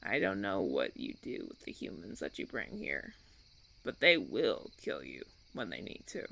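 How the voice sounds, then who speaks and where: A man playing a suave, menacing vampire recruiter in an audio roleplay, speaking deliberately with theatrical, patronizing persuasion. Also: low voice, huge pitch swings, gravelly, pushed and bright, pitched below the performer's own voice, full character voice. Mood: coaxing, veiled threat. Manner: theatrical, deliberate, silky persuasion with veiled threats. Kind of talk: audio roleplay, ASMR monologue.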